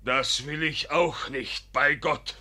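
A man's voice: a little high, fairly even intonation, slow, bright, forceful tone, exaggerated voice. A man playing a brutal village blacksmith in a comic radio play, blunt and menacing yet servile to his masters. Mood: violent, threatening. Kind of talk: comedy radio play acting.

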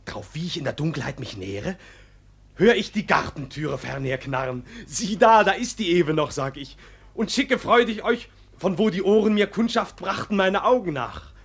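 An actor playing a blunt, earnest young peasant accused in court, in a classic radio play. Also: male voice, sits high, lively intonation, normal speed, a bit bright, slightly rough, full character voice. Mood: earnest recounting. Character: indignant, plain-spoken young peasant lad accused of wrongdoing. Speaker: actor as defendant. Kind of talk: classic German radio play, storytelling testimony.

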